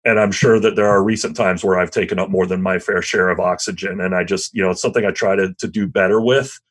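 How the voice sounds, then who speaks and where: Brief: middle-aged male teacher, loud, low and husky, reflective and self-mocking. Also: fairly even intonation, fast talker. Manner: thoughtful, self-deprecating, frequent restarts. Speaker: history teacher. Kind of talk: reflective interview answer.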